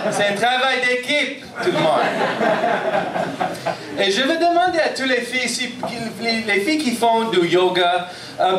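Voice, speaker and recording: Anglophone Montreal comic, high voice, roomy bar. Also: male voice, distant mic, noisy background. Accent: English-speaking Montreal. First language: English. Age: 30s. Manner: self-deprecating, punchy, frequent "ok?" check-ins. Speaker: stand-up comedian. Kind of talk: stand-up comedy set. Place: comedy bar stage.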